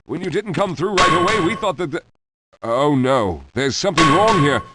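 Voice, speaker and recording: Man acting an excitable, high-pitched older scientist. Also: normal speed, echoey room, over music, close to an everyday voice. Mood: alarmed. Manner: precise, formal, excitable. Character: anxious older male scientist, brainy and courteous. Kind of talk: video game voice acting.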